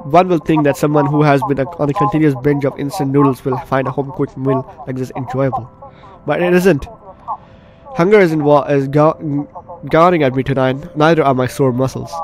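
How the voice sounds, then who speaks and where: A man's voice: medium pitch, steady tone, normal speed, rough recording. A man doing gameplay commentary, reading story text aloud dramatically and stumbling over words now and then. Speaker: gaming video host. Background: game music.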